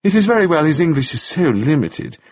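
A man's voice: fairly high pitch, big pitch swings, fairly quick, breathy edge, dry recording, voice pushed up. A man voicing a breezy, pushy widow who talks in a gushing, bossy way, with a dull lo-fi sound. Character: breezy, pushy middle-aged woman, a widow. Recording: dull lo-fi dry recording.

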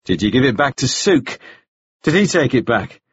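Audiobook role: forceful, suspicious man acted by a man, scornful, lively pitch, husky edge. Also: normal speed, dry recording, lo-fi sound. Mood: pressing, accusing. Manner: pressing, scornful questioning. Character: gruff, suspicious, exasperated man, forceful and accusing. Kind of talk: audiobook character acting.

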